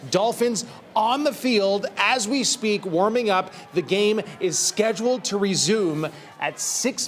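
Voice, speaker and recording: A man anchoring a TV sports report and narrating highlights with an animated, wry delivery. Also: a little hiss or hum.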